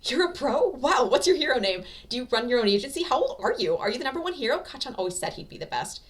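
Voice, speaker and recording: A woman's voice: high voice, big pitch swings, quick pace, far from the mic, voice pushed up. A woman voicing a timid, eager, nerdy fourteen-year-old boy, earnest and questioning, in an amateur audio story. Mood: excited.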